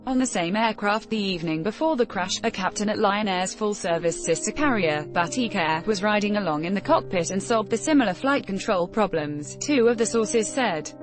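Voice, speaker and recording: Female news narrator for scripted article read, medium pitch, background music. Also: animated, moderate pace, few pauses.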